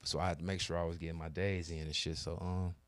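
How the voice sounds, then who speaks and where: A man's voice: low voice, level tone, quick pace, a bit bright, no room sound. A young man telling a long, profane story in casual podcast chat, acting out both sides of the arguments. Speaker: podcast co-host.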